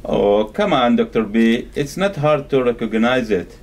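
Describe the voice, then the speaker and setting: A man's voice: mid-range voice, lively intonation, a little slow, darkish tone, natural voice. A man voicing an easygoing male friend in an amateur puppet skit, with careful, learner-like scripted English. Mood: questioning. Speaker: amateur puppet show performer.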